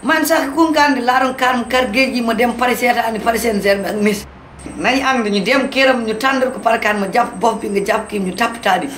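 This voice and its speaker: An actor voicing an excitable, loudmouthed schemer in a cartoon, with a Senegalese accent and an exclamatory, scolding delivery. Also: mid-range voice, brisk, lightly acted. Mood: alarmed. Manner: exclamatory, scolding, switching between two languages. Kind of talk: animated cartoon voice acting in comedy. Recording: roomy, echoing, with background noise.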